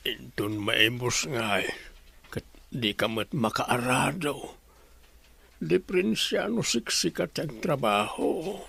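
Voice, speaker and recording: A man's voice: mid-range voice, expressive, takes it slowly, vocal fry, a bit bright, husky, heavily acted. A man with an Ilocano Filipino accent playing a troubled countryman from a struggling family in a radio drama. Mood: grumbling.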